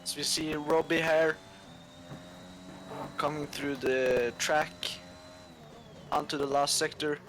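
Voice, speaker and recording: A man's voice: a little high, flat delivery, takes it slowly, long pauses, fairly bright tone, a little hiss or hum, poor audio. A man from Norway, English not his first language, co-commentating a sim race and describing the cars on track. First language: Norwegian. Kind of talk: amateur esports commentary.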